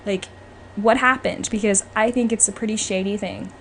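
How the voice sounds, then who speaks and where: A woman speaks over noticeable background noise in a mid-pitched voice. She hosts a podcast, giving her opinions in a casual, chatty way. Her delivery is informal and emphatic. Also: fairly even intonation, normal speed, fairly bright tone. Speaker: podcast host. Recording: clearly noisy background.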